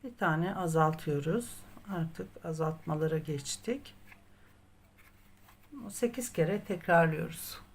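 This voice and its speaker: A woman's voice: low voice, slow pace. A woman narrating a step-by-step craft tutorial in a patient, methodical way, as the host. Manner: patient, methodical instruction, counting aloud.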